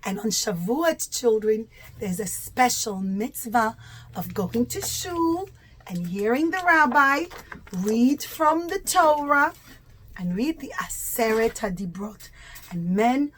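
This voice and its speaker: A woman telling a religious lesson to young children as a storyteller, in simple, expressive language with stretched-out key words. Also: fairly high pitch, takes it slowly, a little hiss or hum, low-quality recording.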